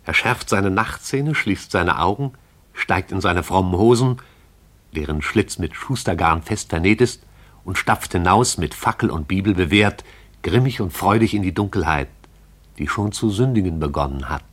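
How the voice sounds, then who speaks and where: An actor with a husky voice as the poetic, all-seeing narrator of a radio play. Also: male voice, low voice, lively intonation, moderate pace, fairly bright tone. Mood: lyrical, wry.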